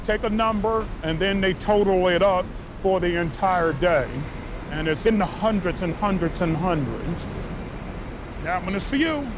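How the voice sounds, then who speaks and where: A man's voice: sits high, lively intonation, a little slow, noisy background. A man working as a taxi dispatcher outdoors, explaining his tally counter in a chatty way. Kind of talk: explaining on the job. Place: outdoor street taxi stand.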